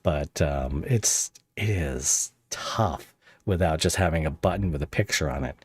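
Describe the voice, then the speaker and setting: Male host, low-pitched, chatty, dry close headset. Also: measured pace, breathy edge, dry recording. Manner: explaining, chatty, self-deprecating. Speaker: podcast host. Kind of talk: casual interview chat.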